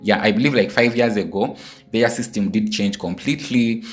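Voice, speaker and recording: A man's voice: on the low side, moderate pace, faint music behind. A man in his twenties from Uganda, talking casually and directly to viewers in a travel vlog. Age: 20s. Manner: chatty, repeats place names, addresses viewers directly. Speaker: vlogger.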